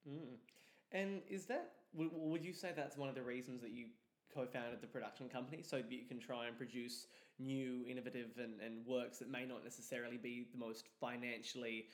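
A man's voice: mid-range voice, steady tone, fairly quick. A man hosting a talk show, putting prepared questions to a guest. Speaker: interviewer, talk show host.

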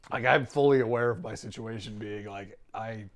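Dude hosting a podcast, swears a lot, rambles, mumbles then yells. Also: male voice, lowish voice, a little slow. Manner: profane, rambling, swings from mumbling to shouting. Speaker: podcast host. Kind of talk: casual chat.

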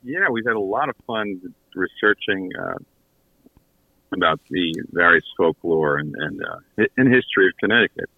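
A low-pitched man gives a casual radio interview as a guest. He speaks haltingly, feeling for his words, over a thin, phone-like connection. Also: lively intonation, gravelly, slightly rough. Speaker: guest, audio theater creator and writer. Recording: echoey, tinny phone-line connection.

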